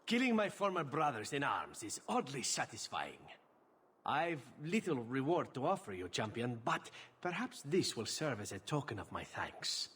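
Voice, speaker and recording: A man's voice: medium pitch, wildly swooping pitch, normal speed, a bit bright. A flirtatious assassin in a fantasy game, theatrical and sure of himself. His voice sits mid-range and swoops playfully. The sound is reverberant, with faint noise behind it. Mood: pleased, courtly. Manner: teasing, theatrical, courtly. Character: roguish, flirtatious male assassin, suave and cocky. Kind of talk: video game dialogue acting.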